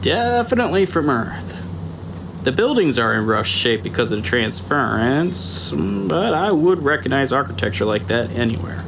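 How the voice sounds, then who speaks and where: Man voicing a calm sniper on the radio, steady, gravelly, noisy track. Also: mid-range voice, normal speed, voice pushed up. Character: male sniper Jake, calm and observant.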